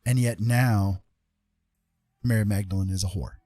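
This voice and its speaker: Man, fairly low voice, earnest and emphatic, livestream rant style, repeats phrases for stress, dry close mic. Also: fairly even intonation, measured pace, mellow, dry recording. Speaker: livestream host. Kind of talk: casual livestream monologue, rant.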